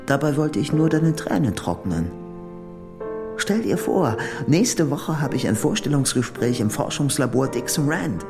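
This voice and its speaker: Obsessive lovesick man, bitter, guarded, intense, very animated delivery, radio drama. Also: medium pitch, measured pace, vocal fry, music in the background. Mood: yearning, brooding. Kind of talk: German radio drama acting.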